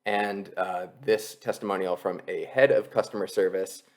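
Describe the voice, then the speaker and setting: A man with a fairly low voice gives an explanatory tutorial. He sounds like an instructor talking over a screen share, conversational and going step by step. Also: steady tone, moderate pace. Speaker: copywriting instructor, presenter.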